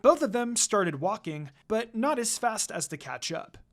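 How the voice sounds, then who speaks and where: A woman's voice: fairly high pitch, sing-song, a little slow. A woman narrator reading scripted fan fiction aloud.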